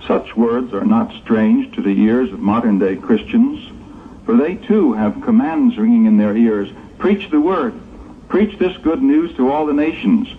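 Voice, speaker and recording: A man's voice: mid-range voice, sing-song, a little slow. A man narrates in a formal, emphatic, sermon-like manner. The sound is narrow and phone-like, an old tape recording with faint noise behind his earnest delivery. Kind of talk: scripted religious narration, sermon-like.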